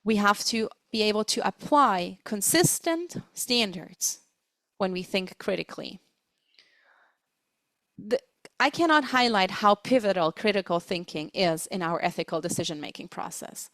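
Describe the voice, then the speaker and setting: A woman giving an explanatory webinar lecture as a trainer, speaking deliberately and stressing her key words. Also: mid-range voice, expressive, a bit bright, dry recording. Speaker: webinar presenter, interpreting trainer.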